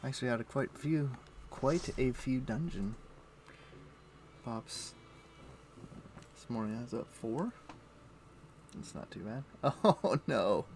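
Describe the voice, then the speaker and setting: A man's voice: medium pitch, slow pace, pauses often. A man livestreaming a game, chatting casually and muttering to himself as he plays. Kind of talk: casual gaming stream chat. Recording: steady background noise.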